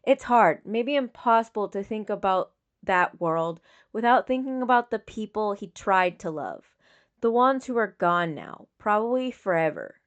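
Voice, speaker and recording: Woman narrating fiction at medium pitch. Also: very animated, unhurried, smooth, lo-fi sound. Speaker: audiobook narrator.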